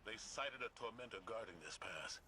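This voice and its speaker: A man's voice: on the low side, normal speed, close to an everyday voice. A man playing a proud, stern military commander in a video game, formal, emphatic and used to giving orders. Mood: commanding. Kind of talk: video game mission dialogue and drama. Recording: game audio under effects noise.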